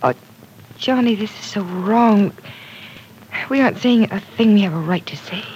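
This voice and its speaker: An actress playing an earnest, gentle young woman caught up in love troubles in an old radio drama, soft and breathy at times. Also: medium pitch, normal speed, dull audio, a little hiss or hum, lightly acted. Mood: pleading. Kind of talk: 1940s radio acting.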